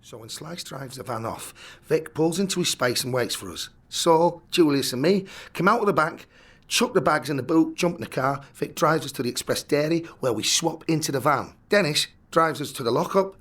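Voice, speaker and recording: A meticulous criminal planner, played by a male actor in a radio comedy. The voice is husky and a little high for a man. He speaks in short, methodical steps, like a briefing. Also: animated, moderate pace, a bit bright. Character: meticulous planner of a bank robbery gang. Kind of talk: comedy radio play acting.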